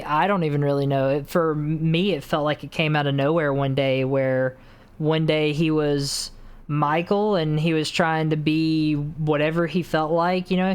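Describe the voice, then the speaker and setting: A man's voice: a little high, smooth. A young man interviewing his longtime friend over a video call, laid-back and rambling. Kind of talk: casual interview chat. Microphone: video call mic.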